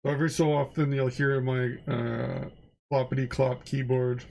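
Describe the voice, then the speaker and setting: Man, stuffed-up nasal voice, gravelly fry, rambling offhand livestream chat. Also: medium pitch, level tone, normal speed, darkish tone. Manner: rambling, offhand, swears casually. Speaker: art streamer. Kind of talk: casual livestream chat while drawing.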